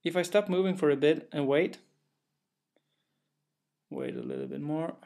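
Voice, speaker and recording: A young developer from Sweden, his English carrying a clear Swedish accent, talks through his own game with an untheatrical, matter-of-fact delivery. His voice sits a touch higher than most men's, and he leans on small fillers and careful phrasing as he explains. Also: normal speed, a little mellow. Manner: careful, plain, a little hesitant with fillers. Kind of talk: narrated gameplay walkthrough.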